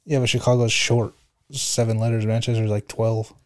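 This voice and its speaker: A man's voice: medium pitch, little pitch movement, normal speed. A young man co-hosting a podcast, chatting casually in a slangy, laid-back way with plenty of swearing. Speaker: podcast co-host. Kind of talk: casual chat.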